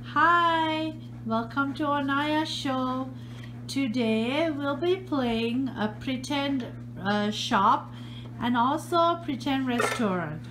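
A woman behind the camera in a home living room, gently and patiently playing pretend shop with a toddler. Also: high-pitched, slow, background noise. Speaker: parent hosting a kids' channel. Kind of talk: playful pretend-play with a toddler.

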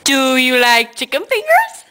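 A teenage girl making a goofy prank phone call, silly and giggly with loud outbursts, recorded close on a webcam at home. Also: high voice, wildly swooping pitch, unhurried, noisy background. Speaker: prank caller. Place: indoors at home.

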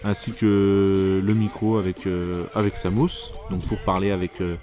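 A man's voice: sits low, little pitch movement, measured pace, a little mellow, soft background music. A man giving an informal, hesitant, hands-on product review in casual slangy speech.

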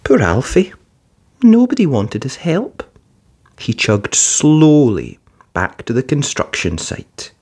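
A narrator reading a children's picture book aloud in an expressive storytelling style. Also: medium pitch, slow pace, slightly rough.

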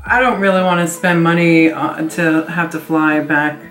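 A woman's voice: low-pitched, steady tone, normal speed, a bit bright, reverberant, faint music behind. A woman in her forties co-hosting a casual vlog, chiming in with short, agreeable and teasing remarks. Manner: brief, agreeable interjections, teasing. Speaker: vlog co-host. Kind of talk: casual chat. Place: indoors, seated before a virtual backdrop.

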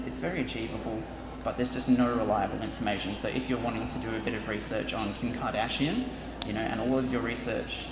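A young man giving an upbeat talk to high school students from a lectern, adding joking asides. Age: young adult. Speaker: university presenter. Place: large auditorium with stage. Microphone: lectern microphone. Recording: echoey hall with audience noise.

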